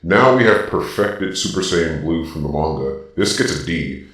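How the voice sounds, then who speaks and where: A man's voice: expressive, moderate pace, fairly bright tone. A man speaking through a robotic villain-style voice filter, giving informal, opinionated commentary and explaining his reasoning point by point with emphasis. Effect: deep robotic Darth Vader-style voice filter. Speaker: video host. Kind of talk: informal opinionated ranking commentary, partly improvised. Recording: very echoey, distant from the mic.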